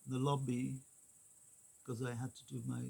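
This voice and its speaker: A man talks slowly, leaving long pauses between short phrases. His voice is gravelly and husky. He rambles casually, hunting for his words as he goes. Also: medium pitch, little pitch movement, dark tone. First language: Swedish. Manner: halting, many pauses and fillers. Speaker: vlogger.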